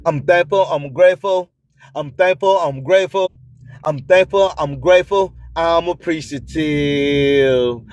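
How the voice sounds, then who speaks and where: A man hosting radio with motivational affirmations and announcements, deliberate and repetitive like a chant. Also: on the high side. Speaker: radio host.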